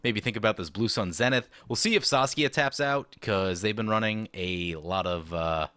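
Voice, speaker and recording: A man with a slightly hoarse, lowish voice talks through a card game as he plays it, weighing each move aloud. His delivery swings widely in pitch, and his tone is bright and forward. The sound is close and dry. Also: no room sound. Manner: thinks aloud through his moves, chatty and explanatory. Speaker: channel host. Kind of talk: play-by-play card game commentary.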